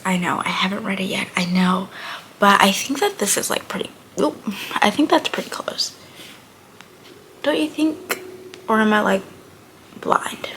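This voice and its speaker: Gravelly bright young woman, a bit under the weather. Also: medium pitch, slight background noise. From Buffalo, New York. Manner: chatty and rambling, frequent drop to a murmur. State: recovering from illness, voice slightly off. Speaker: book vlogger. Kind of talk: casual chat to camera.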